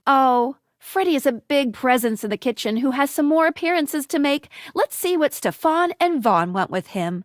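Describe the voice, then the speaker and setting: A woman's voice: high-pitched, no echo, studio quality. A woman voicing a perky, quirky, teasing young female guide in a video game, with a bubbly, playful, exaggerated manner. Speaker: tutorial guide in a dating simulator game. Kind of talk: video game character voice lines.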